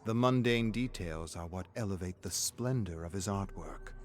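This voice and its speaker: A man acts out a suave, sinister storyteller for a video game, with a fairly low voice. The phrasing is measured and theatrical, savoring a vain killer's dark thoughts. Soft music plays underneath. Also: natural voice. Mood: brooding, sinister. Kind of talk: scripted dramatic story narration. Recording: music behind the narration.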